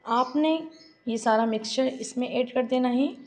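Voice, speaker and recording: A woman, hosting a home cooking tutorial and calmly giving the viewer step-by-step instructions. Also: on the high side, unhurried, over music. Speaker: cooking channel host. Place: home kitchen.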